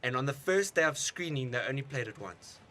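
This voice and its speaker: A man's voice: medium pitch, expressive, brisk, sharp tone. A man co-hosting a radio movie show, musing aloud in casual chat with stumbles and repeated words.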